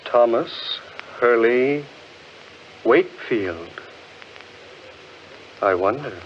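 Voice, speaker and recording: An actor playing a breezy, flippant upper-class gentleman with a British accent in a 1940s radio drama. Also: medium pitch, expressive, extremely slow. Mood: pondering. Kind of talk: 1940s radio horror acting. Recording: muffled, noisy 1940s radio recording.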